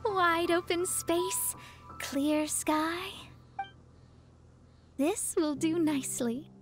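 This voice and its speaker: A woman's voice: very high-pitched, very slow, faint music behind, full character voice. A woman playing a proud, self-assured young female astrologer mage in an anime game, haughty and precise in her delivery. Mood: focused.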